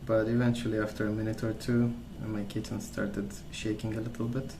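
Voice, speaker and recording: A man speaking softly in a calm, gentle, unhurried way, rambling in a casual ASMR storytelling style. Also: fairly low pitch. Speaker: ASMR video creator. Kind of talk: soft-spoken ASMR rambling. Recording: roomy sound with clear background noise.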